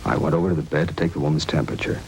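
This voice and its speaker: An actor playing a shaken, indignant American doctor who has been robbed, talking in a hesitant, fussy way in a radio drama. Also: male voice, very deep voice, level tone, very fast, rough recording. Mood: uneasy recounting. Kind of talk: 1950s radio drama acting. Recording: old radio recording, faint noise.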